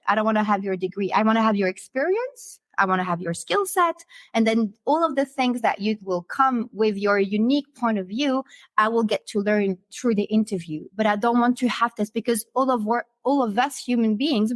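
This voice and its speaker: Higher-voiced woman, emphatic, dry sound. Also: normal speed, fairly bright tone, no room sound. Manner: emphatic, repeats phrases for stress. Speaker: entrepreneur in conversation. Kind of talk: conversational interview.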